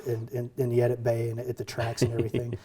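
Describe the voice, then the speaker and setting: A man's voice: mid-range voice, completely flat delivery, fast talker, crowd noise. Male host, middle-aged, mid-range voice, chatty interviewer who stumbles into questions. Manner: conversational, stammers and repeats words when asking questions. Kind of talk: casual interview chat. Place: studio.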